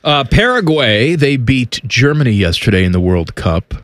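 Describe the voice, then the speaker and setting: A man's voice: medium pitch, big pitch swings, normal speed, no echo. A man co-hosting a casual podcast chat with dry, teasing humor. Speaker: podcast co-host.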